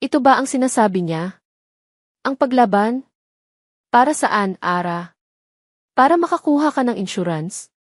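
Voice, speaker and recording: Lady doing a snooty mean rival woman, accusing and indignant, highish voice, audio drama. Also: animated, measured pace, long pauses, no echo, clean studio sound. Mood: scornful, accusing. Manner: shouting accusations with mock indignation. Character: haughty, malicious woman rival, accusing and scornful.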